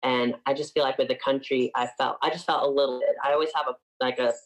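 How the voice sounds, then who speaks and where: A man's voice: mid-range voice, fairly even intonation, quick pace, low-quality recording. A man in his twenties from upstate New York, a singer chatting casually as an interview guest and filling his talk with frequent "like"s.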